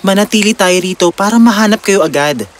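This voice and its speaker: Performer playing an eager, animated young servant boy. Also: medium pitch, a little fast, lightly acted. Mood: polite, earnest. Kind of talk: children's fairy-tale dialogue. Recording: music behind the voice.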